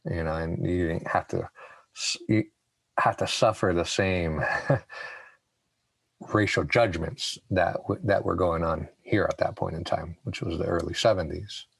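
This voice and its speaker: A man's voice: low-pitched, fairly even intonation, a little slow, gravelly, slightly rough, no room sound. A man in his forties from Puerto Rico, a podcast guest telling stories in a casual, candid, informal way. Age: 40s. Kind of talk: casual conversational storytelling.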